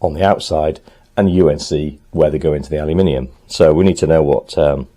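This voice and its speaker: A man talking casually to the camera in his home workshop, thinking aloud as he works, a little hoarse. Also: very deep voice, fairly quick, mellow. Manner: chatty, thinks aloud while working, frequent ums. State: slightly hoarse, clearing throat. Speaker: motorcycle restorer and channel host.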